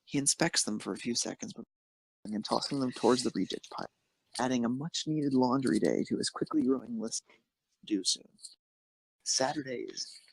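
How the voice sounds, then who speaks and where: A man's voice: mid-range voice, brisk, vocal fry, husky, dry recording, low-quality recording. A man reading scripted fan fiction narration as the narrator of an amateur story.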